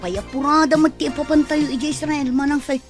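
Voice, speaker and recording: A woman's voice: high voice, fairly quick, over music, close to an everyday voice. A young woman acting an eager female graduate in a radio drama, with an Ilocano Filipino accent. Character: excited young female graduate bound for work abroad.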